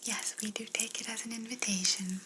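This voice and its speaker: A woman playing a polite, soothing female alien abductor in a whispered ASMR roleplay, gently reassuring and clinical in manner. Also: on the high side, fairly even intonation, a little fast, background noise, natural voice. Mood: calm, musing. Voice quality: breathy unvoiced whisper.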